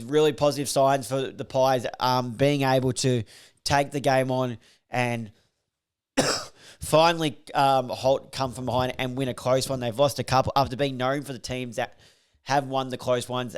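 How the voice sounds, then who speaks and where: Brief: male podcast host, a bit croaky, smooth resonant tone, rambling stat-heavy review. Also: medium pitch, fairly even intonation, measured pace. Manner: rambling, filler-heavy, reels off stats. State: slightly croaky, voice recovering. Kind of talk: casual solo podcast review.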